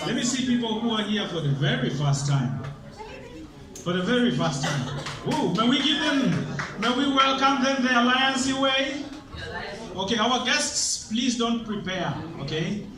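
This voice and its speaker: A man's voice: very high voice, a little slow. A man from Uganda, presenting a motivational seminar and drilling the audience with call-and-response questions. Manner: energetic, rousing, drills the crowd with repeated questions. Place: large hall with audience. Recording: echoey hall, distant mic, crowd noise.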